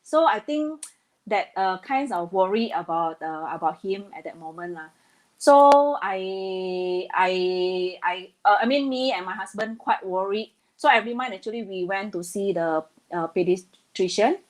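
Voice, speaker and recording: A woman's voice: mid-range voice, expressive, unhurried, poor audio. Hesitant Indonesian-born woman guest. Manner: hesitant, many fillers, earnest. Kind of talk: casual interview talk.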